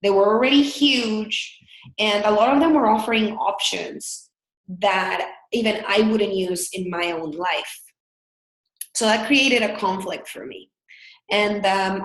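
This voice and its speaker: A woman's voice: medium pitch, reverberant, poor audio. A woman in her thirties from El Salvador with a Salvadoran Spanish accent, presenting a business webinar in an earnest, candid way with frequent fillers. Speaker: business consultant.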